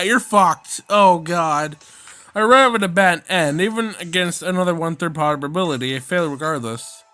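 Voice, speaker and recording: A man with a fairly high voice streams a story game. He reads the narration aloud and reacts in exclamatory, slangy asides. Music plays clearly behind his voice. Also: sharp tone. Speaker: let's play streamer. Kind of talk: visual novel let's play with read-aloud narration and reactions. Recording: prominent background music.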